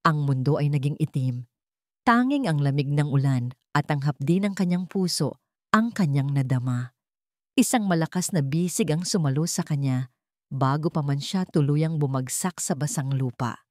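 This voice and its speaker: A woman storyteller narrating a scripted romantic drama in deliberate, dramatic short phrases. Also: deep voice, expressive, darkish tone, dry recording, clean studio sound.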